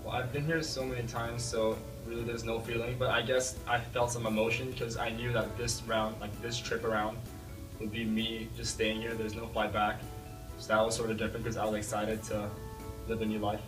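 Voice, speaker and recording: An eighteen-year-old man from Indonesia, a college student, speaking calmly and plainly. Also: lowish voice, normal speed. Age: young adult. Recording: roomy, distant mic, music underneath.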